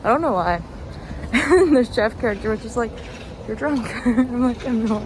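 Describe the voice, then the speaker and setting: A chirpy, giggly woman in a casual, rambling solo chat, halting often and leaning on "like". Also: on the high side. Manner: giggly, chuckles often between phrases; halting, peppered with "like". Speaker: host of a personal walk-and-talk podcast. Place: outdoors, walking along a street. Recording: clear outdoor street and car noise. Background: passing cars, urban outdoor ambience.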